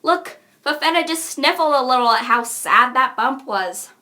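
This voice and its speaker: Woman as a cocky, deadpan young wisecracker. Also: sits high, moderate pace. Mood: smug, deadpan. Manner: deadpan, rambling. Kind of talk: cartoon character voice reel.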